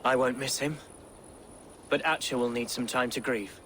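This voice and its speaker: An actor playing an earnest young alderman in a game cutscene, speaking formally and warmly to an ally. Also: medium pitch, fairly even intonation, normal speed, slight background noise, close to an everyday voice. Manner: formal, heartfelt, self-deprecating. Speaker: newly named alderman of a shire. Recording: game cutscene with loud music.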